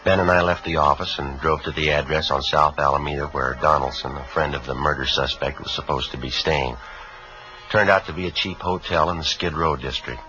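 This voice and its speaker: An actor playing a level-headed homicide sergeant in a 1950s radio crime drama, speaking in a clipped, deadpan, matter-of-fact way. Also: male voice, rumbling low, a little fast. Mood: flat, businesslike. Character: level-headed male police homicide sergeant, narrating his case. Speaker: detective narrator. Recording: dull vintage radio recording, faint music.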